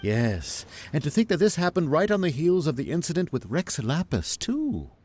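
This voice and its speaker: A man's voice: fairly high pitch, wildly swooping pitch, normal speed, darkish tone, a little hiss or hum. A man voicing a pondering, melodramatic townsman in a video game, theatrical and prone to repeating himself. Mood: dramatic speculation. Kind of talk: video game cutscene voice acting.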